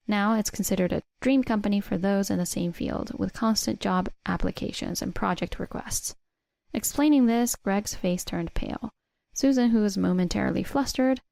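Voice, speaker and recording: Female narrator for first-person drama stories: measured read, mid pitch, creaky edge, very dry sound. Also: warm tone, no echo. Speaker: story narrator.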